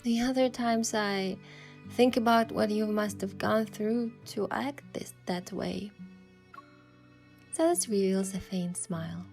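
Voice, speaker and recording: A woman's voice: medium pitch, slow, vocal fry, a little mellow. A woman playing a thoughtful, affectionate young heroine, narrating a romance game story in the first person with a reflective manner. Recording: music behind the voice.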